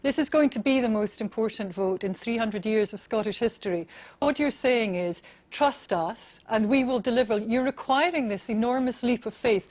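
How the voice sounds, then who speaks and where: A woman's voice: fairly high pitch, normal speed, a little mellow. A woman interviewing a politician, pressing and sceptical, cutting in with challenges.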